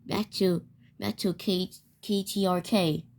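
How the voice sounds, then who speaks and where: Halting woman reading mock emergency news, lowish pitch. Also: fairly even intonation, a little mellow. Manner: halting, stumbling, repeats phrases. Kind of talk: amateur mock emergency broadcast and news report.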